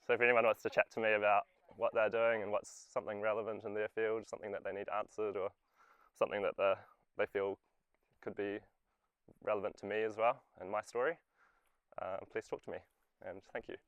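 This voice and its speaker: A young man gives an informal, personal short talk as a student. His voice is deep, with plenty of creak. The recording is dry and free of echo. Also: little pitch movement, fairly quick, a bit bright. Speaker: student speaker at a community event.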